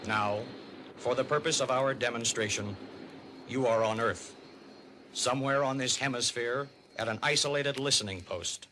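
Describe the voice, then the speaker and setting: An American man narrating a 1950s radio civil defense documentary in a grave, emphatic, deliberate manner. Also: medium pitch, bright, forceful tone, a little hiss or hum, lightly acted. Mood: grave, urgent. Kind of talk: 1950s radio documentary narration, dramatic public-service announcing. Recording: vintage 1950s radio recording.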